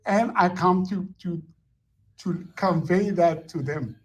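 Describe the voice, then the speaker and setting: A man's voice: sits high, slow. A man, a former school teacher and parent, arguing his point insistently and repeating himself for emphasis. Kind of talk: heated confrontation.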